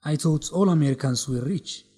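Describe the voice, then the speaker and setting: A man playing a confident, teasing young law student in a radio drama, courteous and measured in his delivery. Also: a little high, warm tone, slightly rough, no echo. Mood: curious. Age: young adult. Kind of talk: educational radio drama acting.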